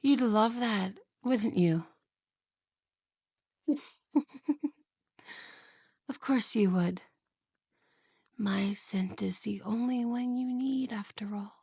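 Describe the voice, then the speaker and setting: A woman voicing a possessive, jealous young wife in an ASMR roleplay, talking softly and slowly in whispers, sweet on the surface and menacing underneath. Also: mid-range voice, slightly rough, no room sound, studio quality, full character voice. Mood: sweetly possessive. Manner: soft, slow, whispery, with giggles.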